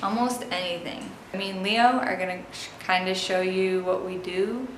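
A young woman in her twenties chatting casually and brightly to a handheld camera on a busy street, laughing easily. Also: lowish voice, steady tone, moderate pace. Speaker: travel vlogger. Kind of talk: casual travel vlog. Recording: loud street and traffic noise. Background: traffic noise.

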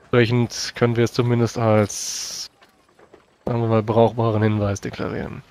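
A man speaking in character in a tabletop role-playing game, with formal, measured phrasing. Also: on the low side, completely flat delivery, darkish tone. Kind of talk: in-character improvised game dialogue.

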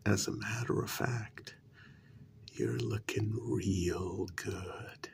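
Man acting apologetic talent agent, ASMR role-play, low soft voice, heavy vocal fry, breathy, hesitant. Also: fairly even intonation, slight background noise, full character voice. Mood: soft, courteous. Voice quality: breathy, half-whispered, gravelly. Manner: slow, hesitant, halting. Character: apologetic, easily flustered male talent agent for supernatural creatures.

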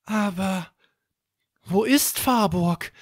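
Young boy hero, anxious and wondering, very high pitch, bedtime audio tale. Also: very slow, dry recording, studio quality, heavily acted. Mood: anxious, puzzled.

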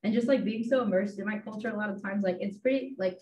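A woman's voice: medium pitch, quick pace, mellow, a little hiss or hum. A young woman from the Los Angeles area hosting a casual panel, chatty with lots of fillers and easy laughs. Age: young adult. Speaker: panel host and student staffer. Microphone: video call. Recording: dull video call audio.

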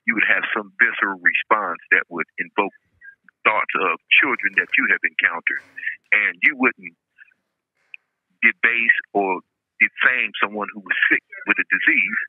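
A man's voice: on the low side, very animated, normal speed, creaky, slightly rough. Man on a tinny, echoey phone line. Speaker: guest calling by phone. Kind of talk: reflective casual conversation.

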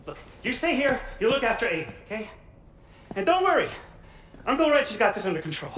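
A man's voice: very high voice, big pitch swings, fairly quick, a bit bright, roomy sound, slight background noise, exaggerated voice. A man voicing a brash, rowdy but loyal masked hacker in a video game, joking in American slang with theatrical flair. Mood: cocky, reassuring. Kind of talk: video game cutscene acting.

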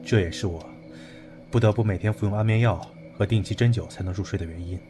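An actor playing a calm, analytical young man in an audio drama, speaking in a measured, matter-of-fact way. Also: on the low side, over music, natural voice.

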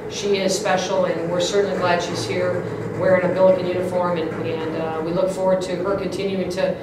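A woman's voice: lowish voice, flat delivery, fairly quick. A woman in her fifties, a basketball coach at a postgame press conference, speaking in an upbeat, emphatic way with many restarts and fillers. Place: press room, indoors. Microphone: desk mic on stand. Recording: echoey room, mic at a distance, faint hiss.

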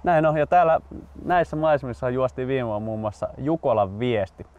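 A man's voice: medium pitch, clear, resonant tone, phone-quality sound. A man in his twenties hosting, moving between presenter-style announcements and casual chat. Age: 20s. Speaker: host.

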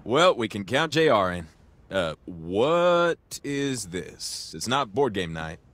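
A man's voice: lowish voice, big pitch swings, slow, fairly bright tone, dry recording, exaggerated voice. A man voicing a cocky, blunt young gang boss in a video game. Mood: confident.

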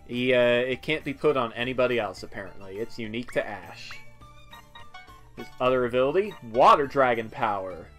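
A man's voice: mid-range voice, expressive, normal speed, a bit bright. A man giving casual, offhand video game commentary with wry asides. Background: game music.

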